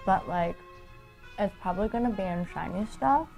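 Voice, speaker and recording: A woman playing a spacey young cohost of a spooky podcast in a comedy promo, with dreamy deadpan asides. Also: mid-range voice, moderate pace, vocal fry, faint music behind, natural voice. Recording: muffled lo-fi audio.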